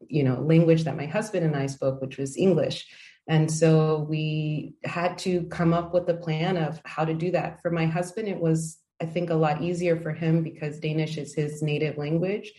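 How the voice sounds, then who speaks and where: A woman in her thirties from the United States, giving measured, thoughtful answers as an interview guest with frequent ums and uhs. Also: low voice, little pitch movement, warm tone. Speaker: interview guest, language project founder. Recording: echoey room.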